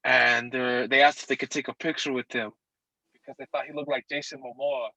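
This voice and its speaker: A young man from Utah talks as a podcast guest in a middle-pitched voice, recorded close and dry with no room sound. He tells his stories in a relaxed, joking manner. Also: a little fast, no room sound, low-quality recording. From Utah, United States. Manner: joking, easygoing storyteller. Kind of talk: casual interview storytelling.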